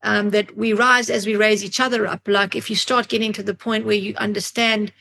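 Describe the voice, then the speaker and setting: A woman, who grew up in Africa, talks as a podcast guest and tells stories in a thoughtful way, often pausing to find her words. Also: mid-range voice, little pitch movement, creaky, bright, forceful tone. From Zimbabwe, grew up in South Africa. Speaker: podcast guest, psychologist and drummer. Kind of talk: reflective interview storytelling.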